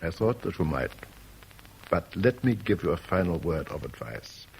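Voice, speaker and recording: An actor playing a suave, menacing crime boss with urbane, formal phrasing in a 1970s radio drama. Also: male voice, sits low, sing-song, normal speed, vocal fry, darkish tone, slight background noise, exaggerated voice, rough recording. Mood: silky, courteous.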